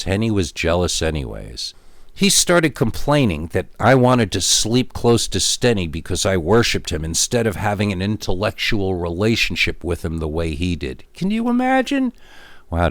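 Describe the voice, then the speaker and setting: Low-voiced man telling scary stories, lively delivery. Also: no echo. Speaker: horror story narrator. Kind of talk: scripted first-person scary story narration.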